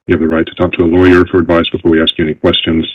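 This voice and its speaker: A man working as a federal agent, questioning a suspect in a calm, professional, procedural way, in a muffled lo-fi interview recording. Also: sits low, rapid-fire, breathy edge. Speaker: FBI agent. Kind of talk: formal interrogation.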